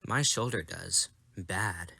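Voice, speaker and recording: A man's voice: low-pitched, slow pace, vocal fry, pushed and bright, slightly rough, no room sound, clean studio sound, exaggerated voice. A man playing a plucky, blunt young boy in a motion comic drama, childish and matter-of-fact. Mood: sulky.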